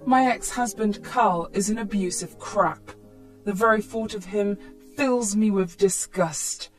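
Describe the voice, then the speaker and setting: A woman's voice: medium pitch, low-quality recording. A woman reading a scripted first-person story as narrator.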